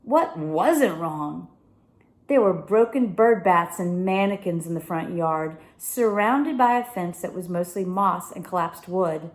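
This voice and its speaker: A woman reading a children's novel aloud with expressive narration. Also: fairly low pitch, unhurried, echoey room. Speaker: story reader.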